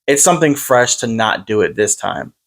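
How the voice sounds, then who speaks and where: A man's voice: lowish voice, sing-song, moderate pace, gravelly, a bit bright. A man in his twenties chatting casually, often repeating words and swearing offhand. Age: 20s. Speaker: podcast co-host. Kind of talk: casual movie chat.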